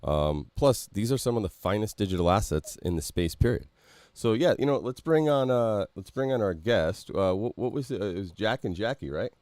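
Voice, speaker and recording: A man's voice: lowish voice, moderate pace, no room sound. A man hosting a podcast over a call, talking casually with lots of fillers and the odd swear word. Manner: animated, swearing, many fillers. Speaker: podcast host. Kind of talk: casual chat.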